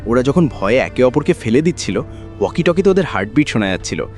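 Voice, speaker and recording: A man's voice: medium pitch, sing-song, fast talker. Guy playing callous younger brother in a crime drama, gleeful, swingy intonation, light music. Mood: smug delight. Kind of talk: dramatic audio thriller acting.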